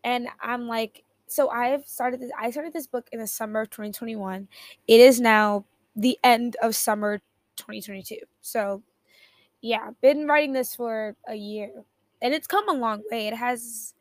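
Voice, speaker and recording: A young woman hosting a solo podcast, her voice raspy from just waking up, rambling and cutting herself off with little laughs. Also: on the high side, steady tone, unhurried, dry recording. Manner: rambling, self-interrupting, giggly. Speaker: podcast host. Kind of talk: casual solo podcast chat.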